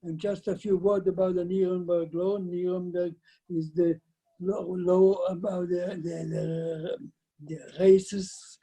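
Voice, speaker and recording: An elderly man in his nineties tells his life story in an interview. His voice is very dark and husky, and he speaks slowly. He often halts and repeats words before finishing a thought. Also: high-pitched, little pitch movement, low-quality recording. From Lithuania. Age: 90s. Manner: halting, with repeated words and broken sentences. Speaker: guest, survivor giving testimony. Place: indoors at home, by shelves of framed photos. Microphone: video call, computer microphone.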